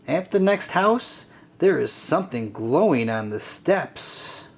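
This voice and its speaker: A storybook narrator reading aloud for children in an expressive, deliberate way. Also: mid-range voice.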